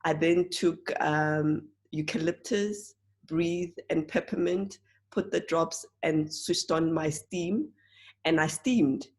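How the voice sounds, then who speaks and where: Slow, low-voiced hoarse woman. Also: a little mellow. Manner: earnest, halting, with frequent fillers and restarts. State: hoarse, croaky voice. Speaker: presenter of an online oils class. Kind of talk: casual spoken class talk.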